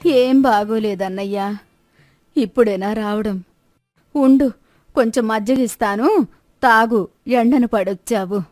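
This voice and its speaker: నటి పోషించిన దిగులుపడే పల్లెటూరి తల్లి, కాస్త హెచ్చు స్వరం. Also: female voice, a little high, measured pace, pauses often, a little mellow, dry recording, soft background music, close to an everyday voice. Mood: hospitable. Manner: plaintive, rustic colloquial speech. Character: worried, lamenting village widow, mother of grown son. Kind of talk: dramatized moral story.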